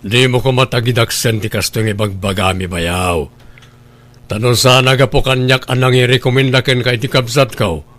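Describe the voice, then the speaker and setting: An actor playing a pushy, scheming husband who lectures and demands, in an Ilocano radio drama. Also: male voice, mid-range voice, fairly even intonation, moderate pace, a bit bright, close to an everyday voice. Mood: demanding. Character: Lando, pushy scheming husband, demanding money from brother-in-law.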